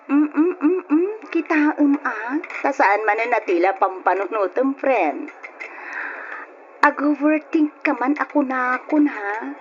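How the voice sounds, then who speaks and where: An actress with an Ilocano Filipino accent playing a curious, reassuring young confidante in a radio drama. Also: female voice, high voice, animated, unhurried. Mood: curious, teasing. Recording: muffled, noisy old radio drama.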